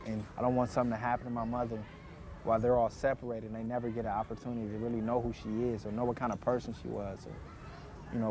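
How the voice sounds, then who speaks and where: A man's voice: mid-range voice, noisy background. An American man in his twenties talking candidly about his own life in an interview. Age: 20s. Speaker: interviewee, former foster youth. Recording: music bed under the voice.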